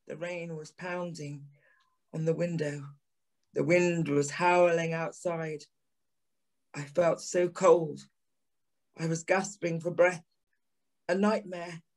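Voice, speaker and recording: A man playing a troubled, earnest gentleman in an audio play, speaking in a deliberate, dramatic manner. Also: fairly high pitch, little pitch movement. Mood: shaken, haunted. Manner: deliberate, dramatic, halting repetitions. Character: troubled gentleman narrator, earnest, haunted by a nightmare.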